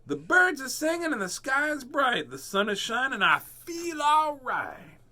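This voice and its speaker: A man voicing a squirrel in a picture book, whining in a high, squeaky voice. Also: sing-song, a little slow, bright, forceful tone, heavily acted. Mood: delighted. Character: squirrel, mad and fed up.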